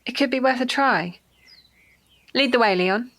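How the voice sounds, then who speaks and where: A woman playing an earnest, cautious and gently sympathetic Victorian nurse in an audio drama. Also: on the high side, measured pace. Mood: sympathetic, concerned.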